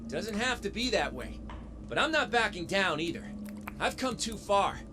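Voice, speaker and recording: An actor playing a plucky, defiant heroic robot in a video game, with a wry, quippy manner. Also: male voice, sits high, big pitch swings, normal speed, noisy background. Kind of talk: video game cutscene acting. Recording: loud music behind the voice.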